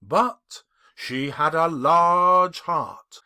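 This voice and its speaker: A solemn spirit guide from a storybook, acted out by a man. The ghost speaks slowly and calmly, with a knowing air. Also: fairly high pitch, clear, resonant tone, heavily acted. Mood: solemn, gentle.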